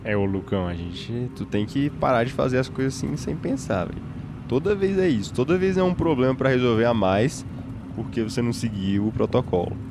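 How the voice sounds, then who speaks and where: A man's voice: mid-range voice, a little fast, background noise. A man with a Brazilian accent talking casually in character as a tabletop game player. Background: music.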